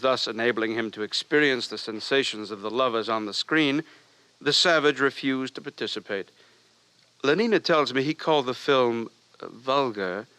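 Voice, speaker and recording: A man's voice: medium pitch, sharp tone, low-quality recording. An actor in a 1950s radio drama playing a pompous, fussy, anxious male official of future London. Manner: pompous, fussy, hesitant over delicate words. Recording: hissy old radio recording.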